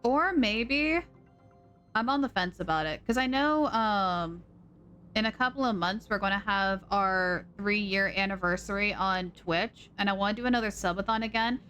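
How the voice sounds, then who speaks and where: A woman streaming a game, chatting casually and rambling as she thinks out loud. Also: mid-range voice, moderate pace, soft background music. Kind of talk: casual live-stream chat.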